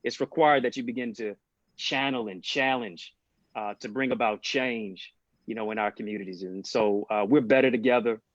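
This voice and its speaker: A man from Jamaica talks in a deep, dry voice with a measured, preacherly rhythm. The sound is dull and lo-fi, like a video call. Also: dry recording. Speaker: panelist. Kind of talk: panel discussion on a video call.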